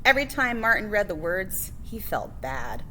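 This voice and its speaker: A woman's voice: medium pitch, big pitch swings, moderate pace, sharp tone, a little hiss or hum. A woman reading a children's picture book biography aloud as a librarian, phrase by phrase with emphasis on key words.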